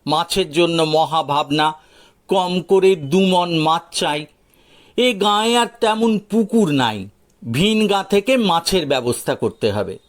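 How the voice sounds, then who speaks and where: A man's voice: on the high side, expressive, takes it slowly. A man narrating a folk tale as a storyteller, in a dramatized audio story style.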